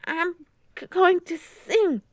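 Woman acting an anxious, high-voiced young schoolgirl. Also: drawn-out words, sharp tone, dry recording, heavily acted. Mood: nervous, hesitant. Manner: hesitant, upset. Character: anxious young schoolgirl, shy, tearful, self-doubting.

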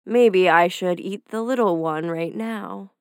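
A woman's voice: fairly low pitch, a little slow, darkish tone, smooth, no echo, studio quality, pitched below the performer's own voice, natural voice. A woman voicing a cunning, hungry male wolf who speaks with sly, purring menace. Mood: scheming.

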